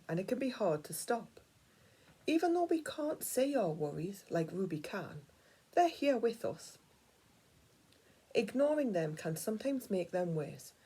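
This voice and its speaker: A woman reading a children's picture book aloud like a teacher, with dramatic storytelling that dips into hushed, breathy tones. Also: on the low side.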